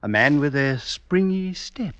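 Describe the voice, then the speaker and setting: An actor playing a mannered, formal gentleman doctor accused of murder, in 1950s radio drama style. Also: on the high side, animated, a little slow, no room sound. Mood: evasive politeness. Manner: formal, theatrical, indignant. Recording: dull vintage radio broadcast.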